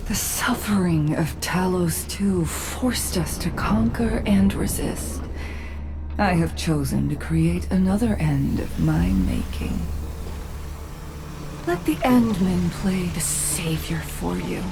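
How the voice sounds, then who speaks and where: A woman voicing a proud, defiant schemer in a video game, with measured, theatrical, mocking delivery over music. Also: lowish voice, gravelly, background noise. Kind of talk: dramatic video game cutscene acting.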